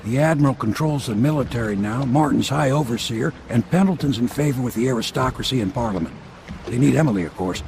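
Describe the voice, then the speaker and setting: Gravelly, loyal, world-weary boatman played by a man. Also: medium pitch, quick pace, background noise, natural voice. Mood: knowing, concerned. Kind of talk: video game voice acting. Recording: very loud music, boat sounds behind.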